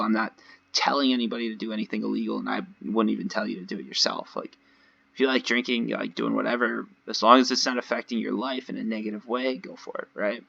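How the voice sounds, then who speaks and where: A man with a slightly hoarse voice gives casual, rambling gameplay commentary, talking directly to his viewers in a conversational way. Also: lowish voice, bright, forceful tone, dull audio. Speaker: gaming video creator. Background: voice-over recorded over gameplay.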